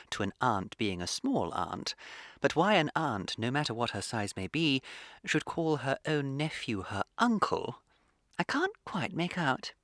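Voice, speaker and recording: An actor playing a witty, teasing young English gentleman of leisure in a comic radio play, speaking with arch, languid precision. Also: medium pitch, no echo, studio quality. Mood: mock puzzled.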